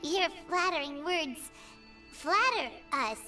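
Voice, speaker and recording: Squeaky little fairy companion. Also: female voice, animated, slow pace, distant mic. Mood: flustered, awed. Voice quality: squeaky, childlike. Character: small chatty childlike female fairy companion, eager and blunt. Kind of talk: anime game voice acting. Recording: game audio under loud music.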